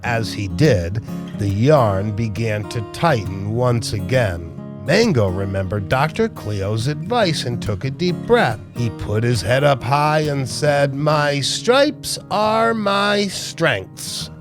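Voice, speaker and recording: Man reading a kids story real slow and animated, guitar music playing behind. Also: medium pitch. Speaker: storybook narrator. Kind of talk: scripted read-aloud narration for children. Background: plucked guitar music.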